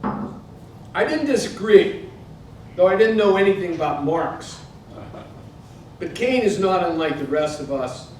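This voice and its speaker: A man's voice: lively intonation, unhurried, pauses often, a little mellow. Older American man in a very echoey room. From Pittsburgh, United States. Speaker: author reading from his book. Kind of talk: literary reading to a live audience. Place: indoor museum event space. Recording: very echoey hall, distant mic, noisy rumble.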